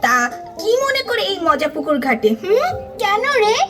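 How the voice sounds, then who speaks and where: A woman's voice: very high voice, very animated, normal speed. A woman voicing a cheeky, stubborn young schoolchild in a children's puppet show, with a whiny, exaggerated delivery. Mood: teasing, annoyed. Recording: music behind the voice.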